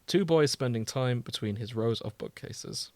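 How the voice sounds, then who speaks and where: A man's voice: medium pitch, moderate pace, a little mellow, no room sound. Casual man podcaster, close dry sound. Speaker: podcast co-host. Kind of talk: casual chat, reading and riffing on fan fiction.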